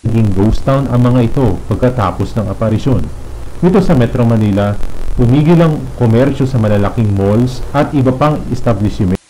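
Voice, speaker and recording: Male narrator, soft dark timbre, formal measured delivery, scripted news documentary style, faint noise. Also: mid-range voice, poor audio.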